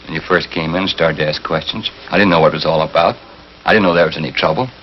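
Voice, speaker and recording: A man's voice: very deep voice, fast. An American actor in his thirties playing a nervous, pleading crime suspect in a 1950s TV drama, insistent and defensive in plain words. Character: nervous, pleading male hit-and-run suspect. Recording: muffled, slightly noisy 1950s TV soundtrack.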